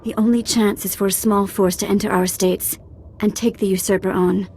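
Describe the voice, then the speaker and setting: A woman's voice: medium pitch, steady tone, normal speed, close to an everyday voice. Lady acting as game princess, noble n formal, out for revenge, bit of ambient noise behind. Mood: determined. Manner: formal, forceful. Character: vengeful, proud princess of a noble house.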